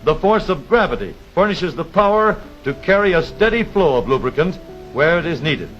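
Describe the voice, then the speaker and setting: A dull, lo-fi vintage film soundtrack with music underneath carries a man's narration. His delivery is formal and precise, in the manner of a 1930s educational film announcer. The intonation rises and falls in a very animated way as he explains things step by step. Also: on the high side, normal speed.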